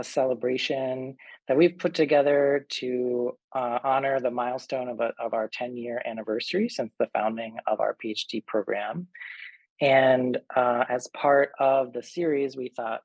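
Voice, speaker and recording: A man's voice: medium pitch, unhurried, a little mellow, no echo, poor audio. A man who is an associate professor, moderating an online alumni panel over a video call in a loose, friendly way with many fillers.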